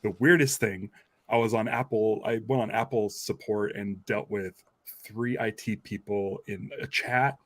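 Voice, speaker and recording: A man's voice: fairly low pitch, steady tone, unhurried. A man hosting a podcast in casual, informal chat, telling things loosely and conversationally. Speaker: podcast host.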